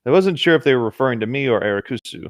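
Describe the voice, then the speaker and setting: A man's voice: mid-range voice, animated, rapid-fire, no room sound, clean studio sound. A man reading horror stories aloud as a co-host, with casual chatty asides. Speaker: co-host reading video game creepypastas.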